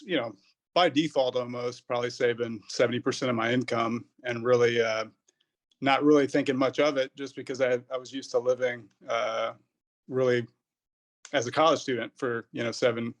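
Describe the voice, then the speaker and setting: A man in his thirties answers a podcast host's questions in a relaxed, rambling way. His voice sits at a middling pitch, and he often circles back to rephrase his thoughts. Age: 30s. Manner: rambling, many fillers and restarts. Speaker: podcast guest, pharmacist. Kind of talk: casual interview answers.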